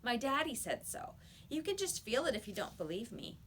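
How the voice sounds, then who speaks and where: A woman voicing an excitable, insistent schoolgirl in a children's storybook, with emphatic, pushy delivery. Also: a little high, normal speed, slight background noise, voice pushed up.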